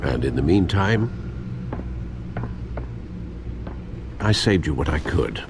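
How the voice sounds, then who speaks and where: A man's voice: a bass voice, lively intonation, moderate pace, loud music behind the voice. An actor playing a wry, fretful, fatherly old butler in a video game, with a dry and formal way of talking. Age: older.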